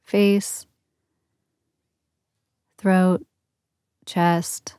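A woman leading a guided meditation in a soothing, gentle, unhurried manner with long pauses between phrases. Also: fairly low pitch, little pitch movement, clear, resonant tone, no room sound, clean studio sound. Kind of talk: guided yoga nidra meditation.

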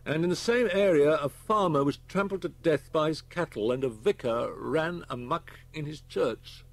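A man voicing a firm, high-pitched British police chief. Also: normal speed, no room sound, close to an everyday voice. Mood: matter-of-fact. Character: brisk, authoritative male police chief inspector. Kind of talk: audiobook character voice.

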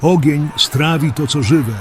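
An actor playing an old wandering prophet in a fantasy audio drama, declaiming desperate warnings of doom over outdoor noise. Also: male voice, on the high side, measured pace, lightly acted. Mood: doom-laden warning.

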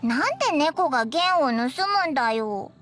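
A woman voicing a small, excitable fairy companion in an anime game, childlike and brash with rough boyish wording. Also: normal speed, over music. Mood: curious. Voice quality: squeaky, childlike.

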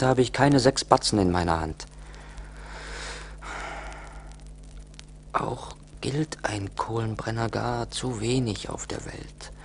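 An actor playing a poor, discontented young charcoal burner in a 1980s radio play, musing theatrically in envy and longing. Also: male voice, fairly low pitch, fairly bright tone, husky, heavily acted. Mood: bitter, dejected. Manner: theatrical, brooding monologue.

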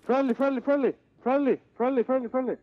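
A man's voice: very high voice, fairly even intonation, fast talker, dull audio, very noisy. A young man from Sweden talking casually in an online game's voice chat through a gaming headset. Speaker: player in an online game.

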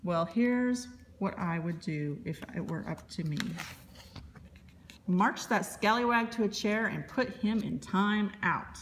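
A woman reading a children's picture book aloud as a librarian, in expressive, deliberate narration. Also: medium pitch, a little hiss or hum.

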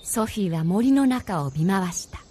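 A woman narrating a radio drama as a measured storyteller. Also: mid-range voice, animated, dark tone.

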